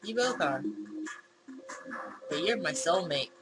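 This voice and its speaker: A woman's voice: lowish voice, animated, slow pace. A woman hosting a gaming video, reading visual novel dialogue aloud in a casual, acted-out way with asides. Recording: music behind the voice. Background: game music.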